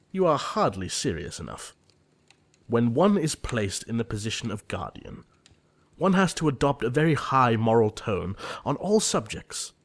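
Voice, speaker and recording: A man playing an earnest, easily exasperated young upper-class gentleman in a period comedy audio play, speaking formally and precisely. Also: on the low side.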